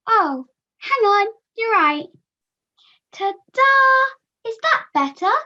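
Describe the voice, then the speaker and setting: A woman's voice: extremely high pitch, sing-song, drawn-out words, pushed and bright, exaggerated voice. A young woman voicing a flustered, chirpy puppet in a comedy show, heard through muffled video call audio.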